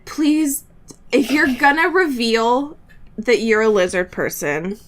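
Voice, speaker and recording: A woman's voice: a little high, expressive, takes it slowly. A woman co-hosting a podcast in casual comedic banter, giggly and given to theatrical exaggeration. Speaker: podcast co-host.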